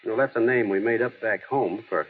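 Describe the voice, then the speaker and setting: A man's voice: fairly low pitch, fairly quick, husky. An actor playing an earnest, plainspoken small-town American man in old radio drama style, folksy and a little hesitant. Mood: matter-of-fact. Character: earnest small-town everyman, plainspoken, gently wry, heir to a fortune. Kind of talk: 1930s-40s American radio drama acting. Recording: tinny, hissy old radio broadcast.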